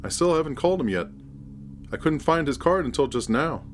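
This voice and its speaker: Man acting a doubting father, pitched up. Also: animated, a little fast, slight background noise, natural voice. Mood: apologetic. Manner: retorting, snarky. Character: doubting, irritable father of an adult.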